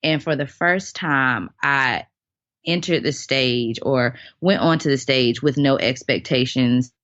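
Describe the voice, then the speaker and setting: Low-voiced woman telling earnest stories. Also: slow pace, dry recording, lo-fi sound. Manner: earnest, animated storyteller. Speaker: guest, dancer and choreographer. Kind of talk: casual interview storytelling.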